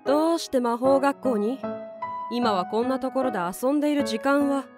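A woman's voice: high voice, normal speed, music in the background, lightly acted. A woman playing an earnest, deferential magician disciple in an anime-style voice drama, formal, polite and serious. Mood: grave, worried.

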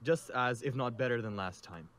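A man's voice: mid-range voice, normal speed, a bit bright, natural voice. A man playing a reasonable, loyal survivor in improvised roleplay, talking persuasively to keep the peace. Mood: conciliatory. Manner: persuasive, conciliatory.

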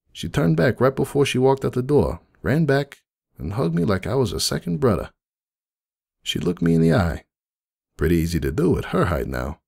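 A man voicing a grieving, kindly cartoon pony cafe owner in an emotional, halting dramatic monologue. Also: medium pitch, gravelly, darkish tone, full character voice. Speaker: dramatic reader of fan fiction. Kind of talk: dramatic first-person monologue reading.

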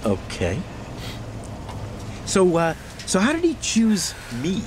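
An actor playing a nervous, starstruck North American voice actor in a radio drama, hesitant, stammering and eager. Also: male voice, high-pitched, huge pitch swings, slow, slight background noise, exaggerated voice. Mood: curious, uneasy. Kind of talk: naturalistic radio drama dialogue.

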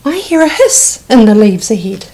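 A woman's voice: fairly high pitch, big pitch swings, normal speed, dark tone, a little hiss or hum. A woman voicing a big, amused, gullible male monster in a children's storybook.

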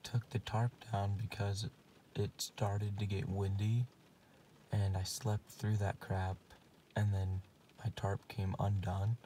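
Young man, camping vlogger, deadpan, slow talker, action camera, clear outdoor noise. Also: sits low. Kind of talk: casual solo camping vlog. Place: outdoors in a hammock, then inside a truck cab. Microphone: handheld action camera.

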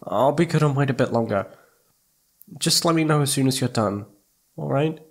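A man playing a needy, affectionate young boyfriend in an intimate ASMR roleplay, speaking in a soft, pleading, hesitant way. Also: medium pitch, normal speed, natural voice. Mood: apologetic, tender. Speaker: audio roleplay voice actor.

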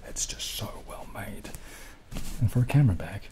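A young man speaking softly and unhurriedly in casual ASMR style, partly whispering, with some hesitation. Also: fairly low pitch, lively intonation. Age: young adult. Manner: soft-spoken, hesitant, unhurried. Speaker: ASMR video creator. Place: small dim indoor room. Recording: clearly audible background noise, added rain.

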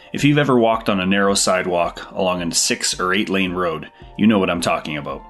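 A man hosting a podcast, reading a scripted intro in a measured, even way and asking interview questions. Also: lowish voice. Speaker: podcast host.

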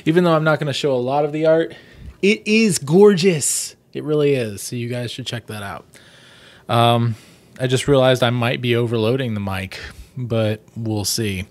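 Male storybook reader, 30s, mid pitch, expressive and chatty, close dry mic. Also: moderate pace, no echo. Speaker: host reading a children's book aloud. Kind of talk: storybook reading with casual commentary. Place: small plain room indoors. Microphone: close desktop microphone.

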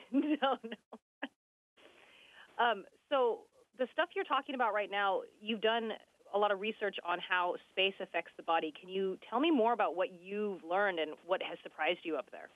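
A woman's voice: mid-range voice, normal speed, no room sound. A woman hosting a podcast, asking casual, chatty interview questions over a radio link. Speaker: podcast host. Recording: tinny radio link, dry.

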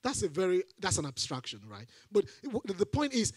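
A middle-aged preacher talks into a handheld mic from a church stage, his voice pitched high for a man. He swings into emphatic repeated lines as he drives his points home. The sound is close and dry, with little room echo. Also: brisk, husky. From Nigeria. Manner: animated, rising to emphatic repeated phrases. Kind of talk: sermon.

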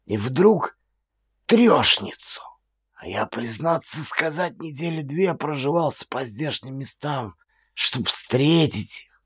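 An actor voicing a humiliated, sorrowful old man in an audiobook, hoarse and halting, with a plaintive, deferential way of talking. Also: mid-range voice, animated, a bit bright, pitched above the performer's own voice, heavily acted. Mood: bitter resignation. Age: older. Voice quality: husky, hoarse half-whisper.

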